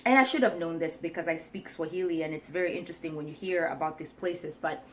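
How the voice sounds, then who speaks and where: A young woman from Kenya talking earnestly to her viewers in a casual, reflective vlog, with many pauses and restarts. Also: low-pitched, slight background noise. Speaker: vlogger. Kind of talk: casual vlog talk to camera.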